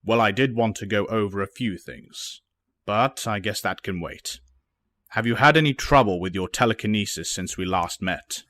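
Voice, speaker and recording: Man playing a low-voiced, measured headmaster. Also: no room sound. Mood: patient, serious. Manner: measured, formal, gentle authority. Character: calm, fatherly male headmaster and mentor. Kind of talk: audio drama acting.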